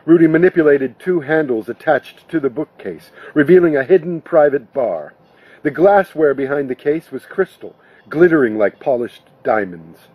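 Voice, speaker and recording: A man reading scripted narration from a novel in a husky voice, in the manner of an audiobook actor. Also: on the high side, expressive, normal speed, dry recording, narrow, tinny audio.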